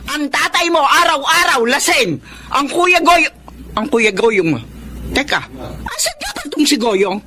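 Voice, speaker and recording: Shrill, nagging, weary mother. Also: sing-song, unhurried, pushed and bright, full character voice. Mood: weary scolding. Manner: scolding, complaining. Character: exasperated, nagging, world-weary mother of grown children. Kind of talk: radio drama acting.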